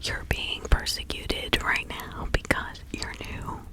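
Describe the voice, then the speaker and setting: Woman playing a slow, whispering older witch. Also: close to an everyday voice. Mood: confiding, advising. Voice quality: breathy whisper. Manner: slow, halting, soothing, confiding. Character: kindly older village witch, 1650s, chatty and sympathetic. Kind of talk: ASMR roleplay.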